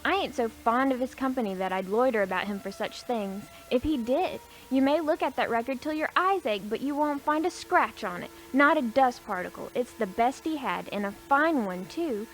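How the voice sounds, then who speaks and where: A woman's voice: fairly high pitch, lively intonation, normal speed, few pauses, soft background music, natural voice, poor audio. A woman playing a brazen, crafty old woman peddling scavenged goods in a radio play, saucy. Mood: brazen, scornful. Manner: saucy, hawking her wares.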